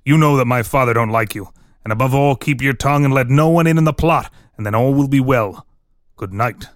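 A man's voice: medium pitch, fairly quick, dry recording, clean studio sound, natural voice. A man playing a sullen, wary young conspirator in a dramatic reading, speaking in a guarded, curt, theatrical way. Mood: cautious, commanding. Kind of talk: dramatic reading of an old crime tale.

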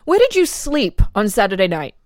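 A woman's voice: mid-range voice, wildly swooping pitch, normal speed, no room sound, clean studio sound. A woman reading the part of an insistent official who questions a murder prisoner methodically in a dramatic reading. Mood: methodical, pressing. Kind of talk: dramatic reading of an interrogation transcript.

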